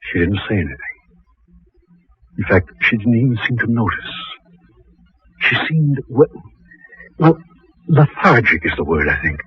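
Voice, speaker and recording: A man acting as a weary, worried husband who narrates a horror tale in a radio drama, with a husky, rough voice. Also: on the low side, animated, unhurried, pauses often, a little hiss or hum. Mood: brooding, subdued. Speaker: narrator and lead actor. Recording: muffled, lo-fi vintage radio recording.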